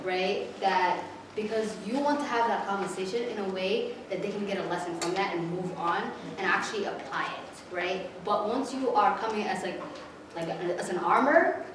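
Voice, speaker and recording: A woman's voice: mid-range voice, normal speed. A woman from southern Somalia speaking in a group discussion, emphatic and often checking with right?. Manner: emphatic, keeps asking right?. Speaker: workshop participant. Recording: echoey room, from far off, noisy.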